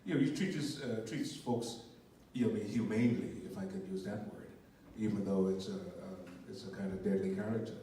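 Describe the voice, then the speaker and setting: A man's voice: lowish voice, warm tone, distant mic, a little hiss or hum. Older man in an echoey hall. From Guyana. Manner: halting, repeats words, thoughtful. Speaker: stage actor on a talkback panel. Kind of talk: post-show panel answer. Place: theater stage.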